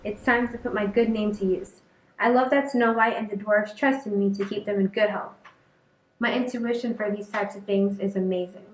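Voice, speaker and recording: A woman's voice: medium pitch, fairly even intonation, moderate pace, close to an everyday voice. A young woman playing a cheerful, self-satisfied fairy-tale character in a student skit. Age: young adult. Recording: roomy, distant mic with faint hiss.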